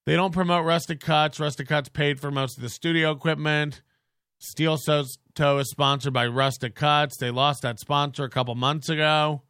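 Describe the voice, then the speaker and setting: Bright-voiced crude male podcast host. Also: fairly high pitch, fairly even intonation, normal speed, clear, resonant tone, no echo, studio quality. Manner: crude, joking, meandering with frequent restarts. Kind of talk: casual rambling chat.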